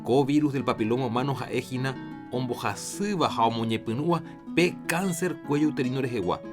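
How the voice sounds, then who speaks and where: A Paraguayan man presents a radio health programme with a medium-pitched voice. His delivery is scripted, and plucked guitar music plays clearly underneath. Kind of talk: scripted health announcement in Jopara.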